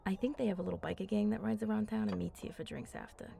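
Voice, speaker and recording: Flirty, gravelly-soft vampire played by a woman. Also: mid-range voice, quick pace. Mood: playful, chatty. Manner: soft, flirtatious, playful, often dropping into breathy murmurs. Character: flirty, teasing, confident female vampire at a bar. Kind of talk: ASMR roleplay, intimate one-sided chat.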